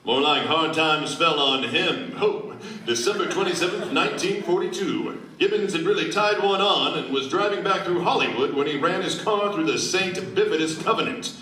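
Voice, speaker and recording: Cocky slangy reporter hero, very bright forceful voice, high and theatrical, old radio comedy, distant roomy recording. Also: male voice, expressive, normal speed. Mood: excited explaining. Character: cocky, bumbling, slangy reporter who is secretly a masked hero. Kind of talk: 1940s-style comic radio play acting. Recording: roomy convention hall, distant camera.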